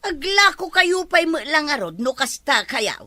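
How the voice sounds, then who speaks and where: A woman's voice: high voice, very animated, a little slow, pushed and bright, no room sound, full character voice. A woman playing an insistent, grumbling older village woman in a comedy drama. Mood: pleading, grumbling. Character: nagging older village woman begging to buy on credit. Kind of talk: comedy radio-style drama acting.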